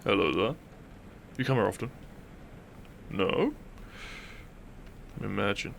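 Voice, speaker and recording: A man's voice: lowish voice, lively intonation, takes it slowly, gravelly, slight background noise, exaggerated voice. A man playing a depressed, dry-witted young man in an intimate audio roleplay, speaking with hesitant, awkward teasing to his best friend. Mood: shy, teasing.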